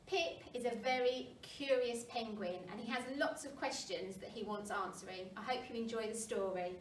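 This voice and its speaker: A woman reading a children's storybook aloud with expressive narration, as a primary school story reader. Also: a little high, moderate pace. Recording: roomy, distant mic, faint noise.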